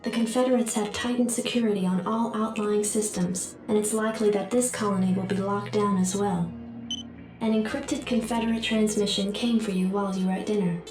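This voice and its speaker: A woman's voice: mid-range voice, fairly even intonation, close to an everyday voice. Woman as echoey, formal computer adjutant. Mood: formal, calm. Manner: measured, formal, impersonal. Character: calm, formal female computer adjutant briefing a commander. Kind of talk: scripted game briefing. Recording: echoey, distant, music behind.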